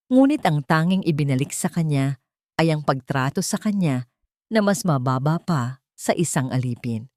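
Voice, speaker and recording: A woman's voice: sits low, a little mellow, dry recording, clean studio sound. A woman storyteller reading scripted, dramatic narration for a romance audio drama.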